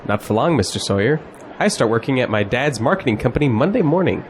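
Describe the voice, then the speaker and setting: A man acts as a cocky, upbeat young male graduate in a radio play. His pitch swings around in a lively way, with faint noise behind him. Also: fast talker, close to an everyday voice. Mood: confident. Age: young adult. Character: cocky, exuberant young male college graduate, polite to elders. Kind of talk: audio drama acting.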